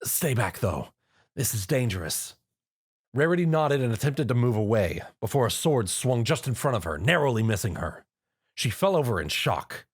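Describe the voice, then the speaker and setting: A man's voice: medium pitch, very animated, brisk. A man reading a fan-written action story aloud as narrator. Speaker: reader.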